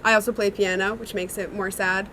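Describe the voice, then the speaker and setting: A woman's voice: medium pitch, little pitch movement, brisk, pushed and bright, a little hiss or hum, rough recording. A young woman, a singer-songwriter, answering interview questions in a chatty, reflective way with many fillers. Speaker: songwriter and singer in a sister duo.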